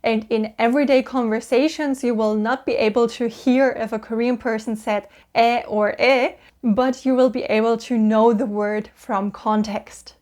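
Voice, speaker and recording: German lady teaching korean vowels, slightly high voice, chirpy and patient, says each sound slow. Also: fairly even intonation, a little mellow. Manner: cheerful, patient, drawn-out sounds for repetition. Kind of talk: explaining Korean vowels to learners.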